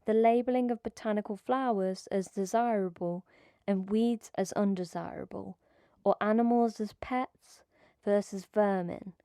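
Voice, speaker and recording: Mellow-voiced woman reading an essay. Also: mid-range voice, no room sound, clean studio sound. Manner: measured, even reading of a prepared script. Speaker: video essay narrator. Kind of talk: scripted academic narration.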